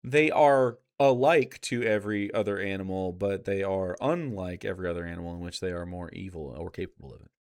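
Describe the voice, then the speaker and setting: A man's voice: low-pitched, brisk, few pauses, clean tone. A man giving casual, argumentative live commentary as a streamer, blunt and sarcastic, with plenty of swearing. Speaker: streamer reacting to a video.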